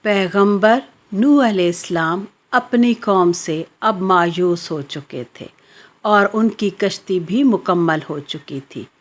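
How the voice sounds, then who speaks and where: A woman narrating a scripted children's story in a measured, expository way. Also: mid-range voice. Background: background music.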